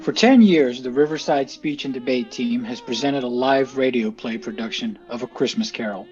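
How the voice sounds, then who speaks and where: Guy doing a scripted show intro, medium pitch, measured and articulate, faint music behind, bit muffled. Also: darkish tone. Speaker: team representative introducing the show.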